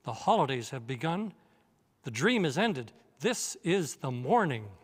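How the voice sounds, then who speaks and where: An older man voicing a great noble lion in a read-aloud storybook, speaking slowly, softly and solemnly. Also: on the high side, big pitch swings, long reverb tail. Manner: slow, soft and solemn.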